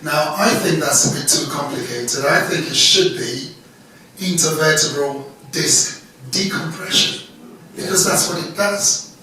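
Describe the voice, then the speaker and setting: A man in his fifties with a Ghanaian accent, giving an informal public talk as an osteopath in a halting, deliberate way. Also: a little high, pushed and bright. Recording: echoey room, distant mic, faint noise.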